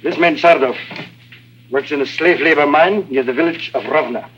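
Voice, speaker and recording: A man's voice: a little high, normal speed, fairly bright tone, a little hiss or hum, natural voice. A man acting a wily, boastful Eastern European people smuggler in a 1950s radio drama, grandiose and florid in his talk. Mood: businesslike, assured. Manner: grandiose, florid, self-admiring. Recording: dull old radio recording.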